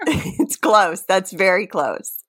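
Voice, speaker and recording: A woman's voice: medium pitch, expressive, slow, fairly bright tone, slightly rough. A woman co-hosting a comedy podcast, mixing playful dramatic readings with casual banter and often breaking into giggles. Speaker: podcast co-host.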